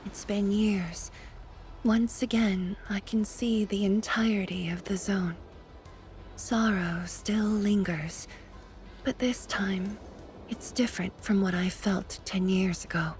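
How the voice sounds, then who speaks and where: Actress as a slow, solemn woman of authority. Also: mid-range voice, fairly even intonation, faint music behind, lightly acted. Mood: wistful. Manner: grave, deliberate, hushed and breathy at times. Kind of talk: dramatic video game voice acting.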